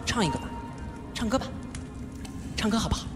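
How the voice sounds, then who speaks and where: A man's voice: high-pitched, sing-song. Playful whiny young swordsman, echoey hall. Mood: teasing, pleading. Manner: whiny, drawn-out, coaxing. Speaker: voice actor. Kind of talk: live audio drama stage performance. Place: large theatre stage, indoors. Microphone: handheld microphone. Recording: echoey large hall, music behind.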